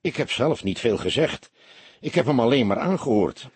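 Polite male businessman witness a man acts him out, explanatory, animated intonation, faintly rough tone, detective audiobook. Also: medium pitch, normal speed, no echo, pitched above the performer's own voice. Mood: amused, chatty. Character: earnest, round-faced businessman giving his account.

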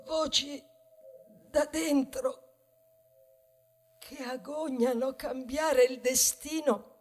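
High-voiced, tender mother corn cob. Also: steady tone, background noise. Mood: bewildered, troubled. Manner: slow dramatic delivery, swinging from hushed to impassioned. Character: tender, grieving mother corn cob mourning her changed children. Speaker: stage actress. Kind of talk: theatrical monologue, poetic stage ballad.

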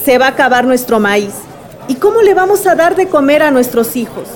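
A woman's voice: sits high, lively intonation, a little fast, some chatter behind. A woman playing a worried village mother in a radio drama, anxious and given to rhetorical questions.

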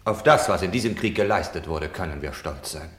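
A man's voice: sits low, expressive, a little fast, fairly bright tone, reverberant. A man playing a defensive, self-pitying Nazi official on wartime leave in a German radio play, justifying himself between subdued confession and indignant outbursts. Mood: weary, dismissive.

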